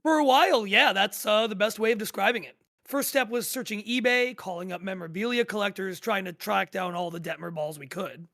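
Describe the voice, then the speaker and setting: Man acting a very high, bright, chatty player. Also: a little fast, gravelly, hardly any pauses, heavily acted. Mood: recounting, wry. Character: talkative, easygoing treasure-hunting game player. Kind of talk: acted casual storytelling in a table read.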